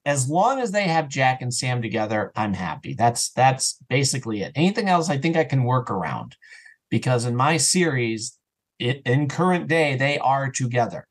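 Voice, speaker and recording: A man chatting casually and enthusiastically as an interview guest, rambling and animated, sometimes acting out bits of dialogue. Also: mid-range voice, normal speed. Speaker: interview guest, audio drama writer and actor.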